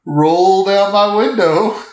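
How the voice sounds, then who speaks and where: Male tabletop player, fairly high pitch, casual game chat, echoey roomy recording. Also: slow pace, a little mellow. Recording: echoey room.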